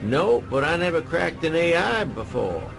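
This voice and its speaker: An actor playing a crusty, wisecracking hacker in a radio drama, with a gravelly voice and a laconic, folksy manner. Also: male voice, fairly high pitch, big pitch swings, normal speed, over music. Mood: dry, wary. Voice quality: gravelly, creaky. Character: crusty, wisecracking veteran male hacker sidekick.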